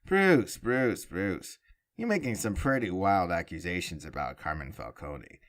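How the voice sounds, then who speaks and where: Man doing a glib, lively bank executive. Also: deep voice, unhurried, heavily acted. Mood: glib, dismissive. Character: smug, dismissive male bank executive, blandly corrupt. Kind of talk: audio drama acting.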